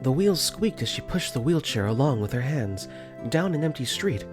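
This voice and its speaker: A man's voice: mid-range voice, big pitch swings, moderate pace, over music, natural voice. A man voicing an easygoing, wry teenage boy who narrates his own story in a conversational tone with joking asides, for a visual novel fan dub. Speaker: voice actor. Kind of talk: first-person visual novel narration and dialogue.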